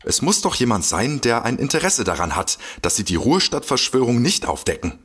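A gullible, excitable fellow in a comic audio drama. His husky voice swoops up and down as he stammers and exclaims, easily amazed. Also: medium pitch, brisk. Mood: nervous, intrigued. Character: gullible, excitable man, a food-loving paranormal enthusiast.

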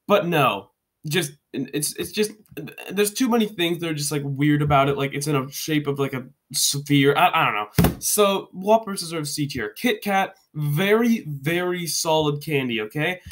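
Young man, congested, jokey rambling vlogger, slightly high voice, webcam at home. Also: lively intonation, normal speed, fairly bright tone. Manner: joking, rambling, self-correcting. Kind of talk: casual ranking commentary.

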